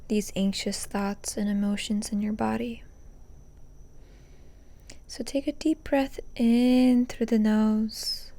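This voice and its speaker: A woman leading a guided meditation in a soft, breathy, often near-whispered voice, calm and gently instructing. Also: fairly high pitch. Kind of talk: soothing guided meditation narration.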